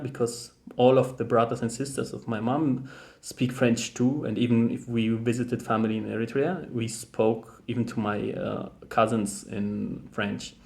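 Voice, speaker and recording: Young guy w german accent, chatty vlog, pauses a lot, restarts, um and uh everywhere, mid pitch. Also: vocal fry, a little mellow. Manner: thoughtful, hesitant, many fillers and restarts. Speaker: vlogger. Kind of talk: casual talk to camera.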